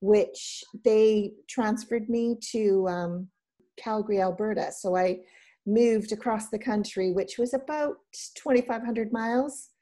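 A middle-aged Canadian woman talks easily as a guest, her voice mellow and warm. She rambles a little, with ums and restarts, and keeps the tone relaxed. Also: medium pitch, measured pace. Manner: relaxed, chatty, laughs often, many ums and restarts. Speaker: guest entrepreneur. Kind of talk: casual interview chat.

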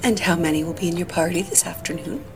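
An actress playing a courteous hotel restaurant receptionist in an audio drama, with formal customer-service politeness. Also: female voice, on the low side, fast, music in the background, lightly acted.

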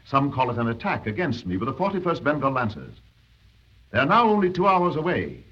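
An actor playing a menacing rebel chieftain in a 1930s radio drama, gloating over captives with mock-courteous formality. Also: male voice, mid-range voice, wildly swooping pitch, a little fast, a bit bright, breathy edge, exaggerated voice. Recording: dull 1930s radio broadcast recording.

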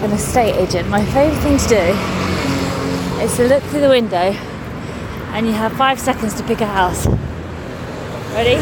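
A middle-aged woman, a comedian chatting casually to camera on her tour vlog, excitable and gushing. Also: a little high, heavy background noise. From England.

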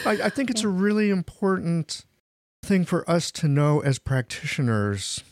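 A man hosting a podcast, a practitioner chatting in a relaxed, folksy way with everyday analogies. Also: on the high side, takes it slowly, pauses often, no echo, studio quality. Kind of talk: casual professional conversation.

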